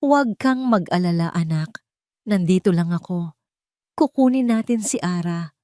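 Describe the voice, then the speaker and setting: A woman's voice: lowish voice, expressive, moderate pace, mellow, no room sound, studio quality, natural voice. A woman voicing a wealthy older mother in an audio love drama, protective, consoling and insistent.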